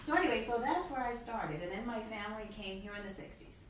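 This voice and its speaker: An expressive woman in her fifties, from Ecuador, telling her story as a talk-show guest. She sits well back from the microphone in a very echoey room. Steady background noise runs under her voice. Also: a little high, fast talker. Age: 50s. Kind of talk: casual interview storytelling. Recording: echoey room, far from mic, noisy.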